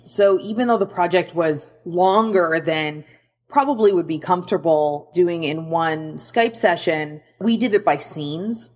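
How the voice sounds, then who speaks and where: A woman's voice: on the low side, long reverb tail. A woman giving casual recorded feedback to a podcast as a listener. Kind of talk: casual informal spoken feedback.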